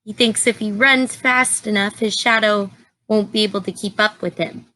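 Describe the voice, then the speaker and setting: A woman reading a children's storybook aloud like a teacher, in an expressive, deliberate way, with simple asides and questions to her young listeners. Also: mid-range voice, poor audio.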